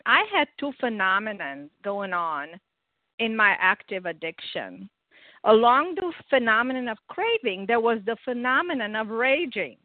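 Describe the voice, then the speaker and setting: A woman speaks over a thin, tinny phone line. Her voice sits a little high and dry, and she shares earnestly, stopping often to find her words. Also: dry recording. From Romania. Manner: earnest, searching for words with pauses. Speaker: meeting participant sharing. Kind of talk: personal recovery share. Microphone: phone call.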